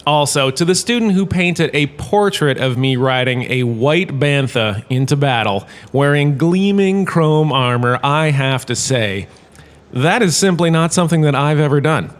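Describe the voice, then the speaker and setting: An actor playing a vain, washed-up star turned professor, lecturing in a pompous, theatrical, self-satisfied way for a comedic audio drama. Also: male voice, fairly high pitch, unhurried, natural voice. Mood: smug, gracious.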